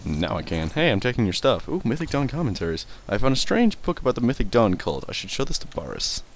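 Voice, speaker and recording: A man's voice: medium pitch, huge pitch swings, fast talker, slight background noise. A man doing casual let's play commentary, rambling, swearing casually and stumbling over hard words as he reads. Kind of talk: casual gameplay commentary and reading in-game text aloud.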